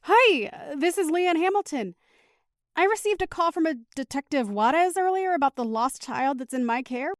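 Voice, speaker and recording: A woman's voice: high-pitched, lively intonation, moderate pace, dry recording, clean studio sound. A woman playing a caring, upbeat middle-aged mother in an audio drama, speaking in a chatty, reassuring way. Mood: polite, upbeat. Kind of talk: naturalistic audio drama acting.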